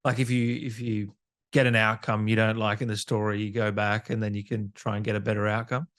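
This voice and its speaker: A man's voice: fairly low pitch, flat delivery, fairly quick, fairly bright tone. A man hosting a casual gaming podcast interview, reading out questions from fans and reacting informally.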